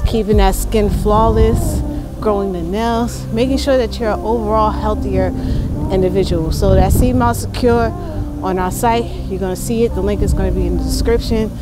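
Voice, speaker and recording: A woman's voice: medium pitch, normal speed. A young woman fitness coach giving workout instructions outdoors while demonstrating exercises, encouraging and practical. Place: outdoor park road. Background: loud background music.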